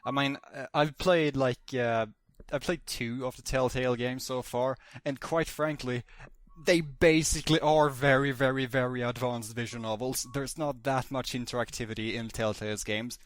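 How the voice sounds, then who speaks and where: A man talking casually as a podcast panelist, repeating himself for emphasis and haltingly correcting himself. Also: mid-range voice, steady tone, dry recording. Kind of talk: casual discussion.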